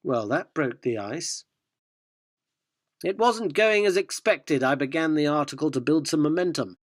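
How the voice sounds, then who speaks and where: Wry, high-pitched male journalist narrator, acted. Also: animated, a little slow, no echo. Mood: wry narrating. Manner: wry, provocative. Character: cheeky, cynical yuppie male journalist narrating his scoop. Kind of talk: radio drama narration and dialogue.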